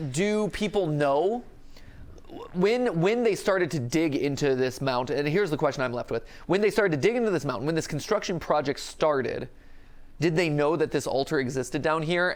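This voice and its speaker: A young adult man giving casual, joking reaction commentary as a show host. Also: a little high.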